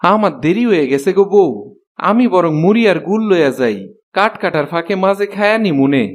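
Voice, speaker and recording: A man's voice: sits high, lively intonation, a little fast, slight background noise. A man voicing a poor, kind-hearted woodcutter in a cartoon, plain-spoken with a rural Bangladeshi dialect. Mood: gentle. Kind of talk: Bengali fairy-tale cartoon voice acting.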